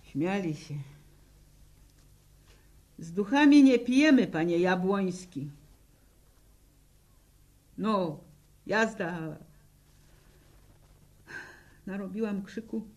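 Slow, haunted peasant widow. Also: female voice, mid-range voice, lively intonation, a little mellow. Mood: anguished recollection. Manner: halting, sombre storytelling in plain rural speech. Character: grieving peasant war widow, stubborn, haunted. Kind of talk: 1960s Polish radio drama acting.

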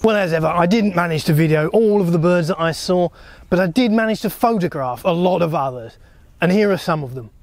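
A middle-aged man presenting to camera outdoors beside a canal, in a relaxed, wry, conversational way. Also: sits high, a little fast, a little hiss or hum. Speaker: birding vlogger.

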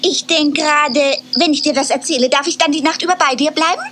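A woman's voice: fairly quick, noisy background, lightly acted. A woman voicing a small, timid and innocent young male squirrel in a cartoon dub. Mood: curious. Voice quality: high, childlike.

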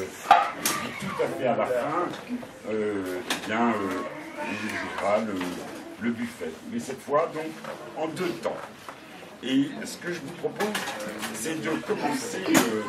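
A man at a bookshop event talks loosely and haltingly to the crowd, full of fillers. He is recorded from across an echoey room. Loud background noise sits under his voice. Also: fairly low pitch. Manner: hesitant, many fillers and restarts. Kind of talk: informal introduction to an audience. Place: bookshop, indoors. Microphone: far camera, no mic. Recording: echoey shop from far off, loud chatter.

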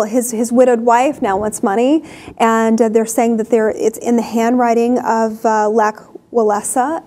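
A woman's voice: fairly high pitch, a little mellow. Young woman hosting a studio news chat. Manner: chatty, many fillers and restarts. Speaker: news show host. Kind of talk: casual news commentary. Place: TV studio desk. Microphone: lapel mic.

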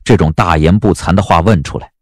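A man narrating a story as an audiobook narrator, in a scripted, expressive and measured dramatic style. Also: low voice, no room sound, clean studio sound.

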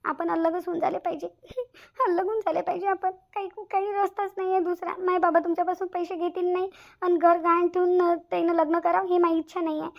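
A woman plays a selfless young cartoon bride-to-be with a very high voice and a Varhadi Marathi accent. She speaks fast and earnestly, repeating phrases for emphasis. The recording is slightly dull. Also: little pitch movement, vocal fry. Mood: resigned, anxious. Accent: Varhadi Marathi from Vidarbha. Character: anxious, selfless young bride-to-be, tenderly devoted. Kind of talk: cartoon family drama dialogue.

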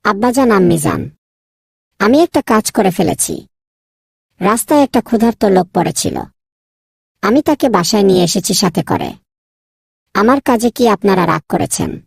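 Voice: medium pitch, expressive, normal speed, creaky, long pauses, breathy edge, dry recording, clean studio sound. A performer voicing a kind, bold young boy in a children's cartoon, insistent and polite to elders. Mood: earnest. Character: kind, bold young boy Nilu, bossy with his friend. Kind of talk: children's moral cartoon dubbing.

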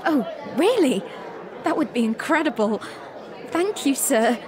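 A woman's voice: high voice, sing-song, unhurried. A woman playing an eager, plucky young journalist, polite and earnest, in a radio drama. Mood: flustered, eager. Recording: music and crowd behind.

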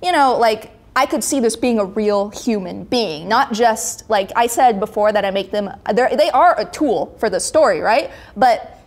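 A young adult woman in a casual interview, explaining her creative work in an informal, animated way, acting out little quotes as she goes. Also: fairly high pitch, normal speed. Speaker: webcomic creator being interviewed. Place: indoor studio set with brick wall.

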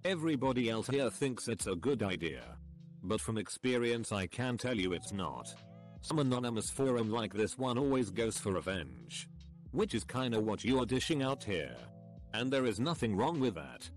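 A man reading a series of online forum comments aloud in a steady, even delivery. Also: mid-range voice, normal speed, soft background music.

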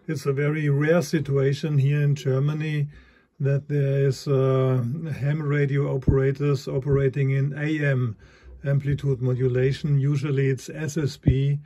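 A man giving a halting, explanatory hands-on commentary as a radio hobbyist demonstrating a receiver. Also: a little high, warm tone. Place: indoors beside a shortwave radio. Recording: clear radio static and hiss behind.

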